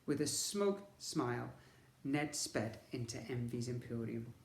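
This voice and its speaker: A man's voice: medium pitch, lively intonation, measured pace, creaky, reverberant. A man narrating a storybook for young children, in the manner of a teacher reading aloud.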